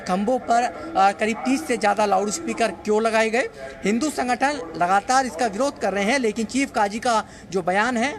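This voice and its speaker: A man reporting live for the news from the street, speaking in a halting, repetitive way with frequent hesitations. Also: high voice. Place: busy street market, outdoors.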